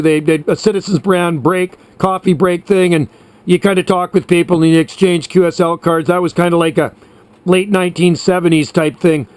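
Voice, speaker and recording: A man's voice: high voice, dry recording. A man of about sixty chatting casually and at length as a hobby radio operator, in a reminiscing way. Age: around 60. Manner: chatty, reminiscing, uses radio sign-offs.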